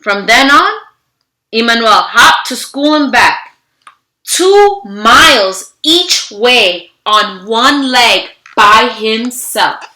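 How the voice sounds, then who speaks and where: A woman with a fairly high, bright voice speaks as a teacher reading aloud to children. She takes her time and is theatrical and expressive, addressing her listeners directly. The room adds a noticeable echo. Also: extremely slow. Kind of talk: children's read-aloud with animated greeting. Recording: roomy, echoing room sound.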